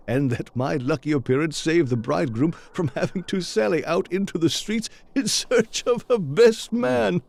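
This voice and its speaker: Earnest victorian gent, loyal sidekick type, super expressive up and down delivery, audio drama. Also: male voice, fairly high pitch. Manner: formal, expressive storytelling diction. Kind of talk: period audio drama acting.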